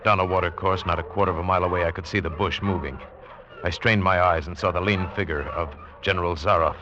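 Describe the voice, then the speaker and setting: An actor playing a hunted, desperate but determined American adventurer in a tense 1940s radio drama. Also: male voice, low voice, fairly even intonation, quick pace, lightly acted. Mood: tense, urgent. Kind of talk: 1940s radio acting, first-person dramatic narration. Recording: muffled, hissy 1940s radio recording.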